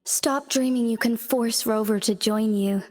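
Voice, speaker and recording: An actress playing a calm, dignified young female magistrate in a game cutscene, speaking in a composed, formal and measured way. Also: a little high, in a large hall. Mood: stern. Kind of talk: video game cutscene voice acting.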